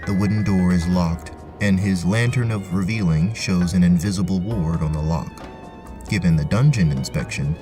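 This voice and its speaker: A man narrating a scripted fantasy audio story in the present tense, as narrator and game master. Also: sits low.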